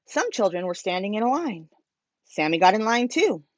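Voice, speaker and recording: A woman reading a children's storybook aloud as a teacher, in a simple, expressive manner for young listeners. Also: medium pitch, normal speed, no room sound, muffled recording.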